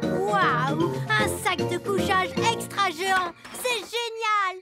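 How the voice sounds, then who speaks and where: Very high little cartoon fairy girl. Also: takes it slowly. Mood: excited. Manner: childlike, earnest. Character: sweet, eager little fairy princess girl. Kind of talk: French cartoon dub. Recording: loud children's music behind.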